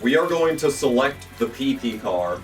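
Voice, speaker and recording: Young guy going hoarse, yelling and cursing over a racing game, bit far off the mic with music playing. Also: medium pitch, normal speed. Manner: excitable, swears often, jumps into yelps when the race turns. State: losing his voice. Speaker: gaming channel host. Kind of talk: gameplay commentary.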